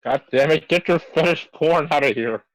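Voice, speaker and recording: A man's voice: on the high side, normal speed, dry recording, rough recording. A man reading aloud casually on a livestream, adding offhand, muttered comments. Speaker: livestream co-reader.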